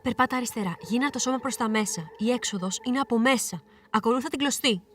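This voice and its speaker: Actress as bright, distant female chorus voice. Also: on the high side, rapid-fire, a little hiss or hum, natural voice. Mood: urging. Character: insistent female chorus voice urging Ariadne onward. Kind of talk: poetic theatrical chorus. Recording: distant from the microphone.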